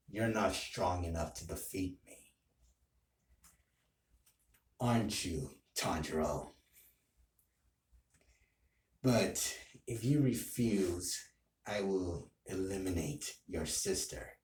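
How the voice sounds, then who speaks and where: A man playing a menacing, power-hungry anime villain who is neither human nor demon, speaking in a deliberate, ominous, taunting way. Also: low voice, darkish tone, slight background noise. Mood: quiet menace. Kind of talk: anime villain role play.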